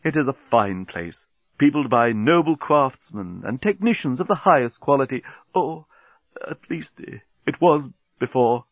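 A gallant, courtly alien space captain played by a man reading an audiobook. He is proud and effusive with a wistful streak. The pitch sweeps widely, and the sound is very dry. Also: very animated, moderate pace, no room sound, dull audio. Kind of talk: audiobook character voice.

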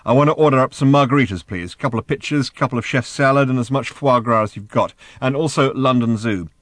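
A man's voice: mid-range voice, fast, no room sound, lightly acted. Brash, flippant hitchhiker acted by a male narrator, impatient and bossy, close dry sound. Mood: brisk, breezy. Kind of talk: audiobook character acting.

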